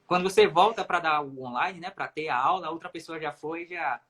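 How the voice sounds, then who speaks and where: A man's voice: fairly high pitch, a little fast, a bit bright. A man with a Brazilian accent, a native Portuguese speaker, explaining things emphatically in a casual livestream chat. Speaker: self-taught English coach on a livestream.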